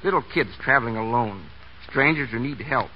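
A man's voice: medium pitch, expressive, a little fast. An American man, a bus driver, speaking plainly and folksily as a guest in a scripted 1930s radio interview. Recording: dull, noisy 1930s radio recording.

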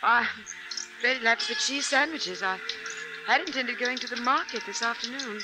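An actress playing a mild, helpful wife in a 1950s family radio drama. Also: female voice, on the high side, normal speed, close to an everyday voice, poor audio. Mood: mild, uneasy. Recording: vintage radio drama, loud music behind.

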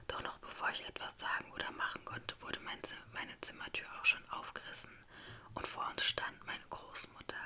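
A woman reading a dramatic story aloud as narrator, in a whispered ASMR style.

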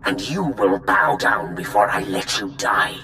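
An actor playing a vengeful dark lord in a video game, threatening and commanding, with deliberate delivery. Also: male voice, on the low side, animated, a little hiss or hum. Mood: vengeful menace. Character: menacing, vengeful male villain, a dark lord.

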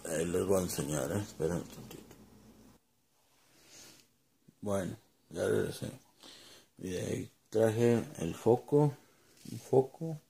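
A man with a Mexican Spanish accent giving a casual, unscripted demonstration, informal and halting as he searches for words and drops in English. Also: low-pitched, gravelly, dark tone, slight background noise. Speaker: home vlogger demonstrating gear.

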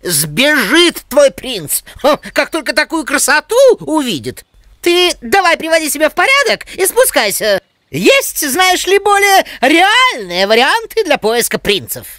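A woman's voice: high-pitched, very animated, measured pace, a bit bright, no echo, clean studio sound, exaggerated voice. A woman voicing a sassy, practical, bossy cartoon bird with a blunt, teasing manner. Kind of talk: animated children's cartoon acting.

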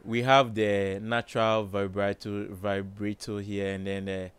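A man with a low voice, slowly thinking aloud with many fillers while demonstrating music plugins in a home studio. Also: flat delivery, a bit bright, clean tone, dry recording. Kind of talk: casual screen-recorded tutorial. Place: small home studio with colored lights.

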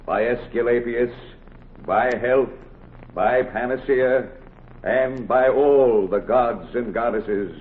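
An actor playing a solemn officiant in a 1940s radio drama, ceremonial and measured phrase by phrase. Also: male voice, mid-range voice, narrow, tinny audio, natural voice. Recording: thin, hissy 1940s radio recording.

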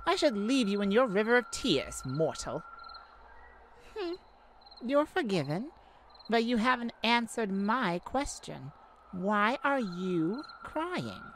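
A woman's voice: mid-range voice, a bit bright, a little hiss or hum. A woman playing a haughty yet kindly goddess of light in an ASMR audio roleplay, theatrical and teasing. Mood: mock-offended, haughty. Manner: theatrical, teasing, mock-offended, with pauses.

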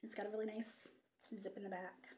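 Casting: young adult woman, mid-pitched, chatty vlogger, webcam sound with a faint hiss. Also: brisk. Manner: chatty, animated, many ums and asides, whispered quips. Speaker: vintage fashion vlogger. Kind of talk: casual haul vlog. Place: living room, seated on a sofa. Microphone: webcam at desk distance.

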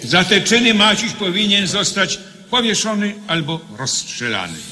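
An actor playing a stern presiding judge in a radio play, formal and declamatory in manner. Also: male voice, high-pitched, big pitch swings, moderate pace, crowd noise. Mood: solemn, accusing. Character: stern male presiding judge of a military tribunal. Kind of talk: Polish radio play acting. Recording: reverberant hall sound.

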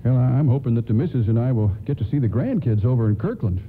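An actor playing a kindly, folksy older train conductor who stammers apologetically in a radio play. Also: male voice, mid-range voice, lively intonation, fast talker, close to an everyday voice. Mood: apologetic. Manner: stammering, hesitant. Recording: thin phone-like band, noisy radio broadcast.

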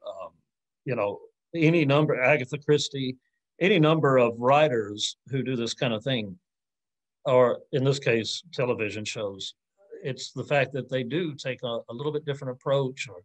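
A man in his forties speaking as an academic answering audience questions informally, thoughtful and halting, often hedging and rephrasing on a video call. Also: medium pitch, poor audio. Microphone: computer mic on a video call.

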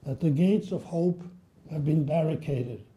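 An older man from Poland giving a measured, solemn history lecture at a lectern in a library room. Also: fairly high pitch, muffled recording. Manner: measured, solemn, reads quotations slowly. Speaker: guest lecturer. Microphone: at a lectern, filmed by a far camera.

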